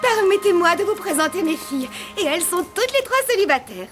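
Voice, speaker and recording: A woman's voice: extremely high pitch, sing-song, a little fast, over music. A woman voicing an effusive, scheming matchmaking mother creature in a cartoon, gushing, fussy and theatrical. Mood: welcoming. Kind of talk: animated cartoon voice acting.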